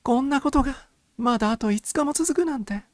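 A man's voice: very high-pitched, fairly quick, no room sound, studio quality, voice pushed up. A man voicing a genteel, impatient young society lady with feminine, exclamatory speech in an audiobook. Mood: anxious, impatient. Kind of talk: audiobook character dialogue.

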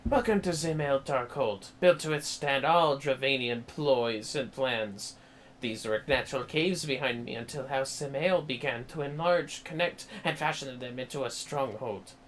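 A man's voice: mid-range voice, measured pace, a bit bright, slight background noise, voice pushed up, lightly acted, low-quality recording. A man voicing a melodramatic, wailing female knight in a fantasy game, with theatrical, archaic phrasing. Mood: grand, welcoming. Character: melodramatic wailing female knight, prim paperwork-loving guard.

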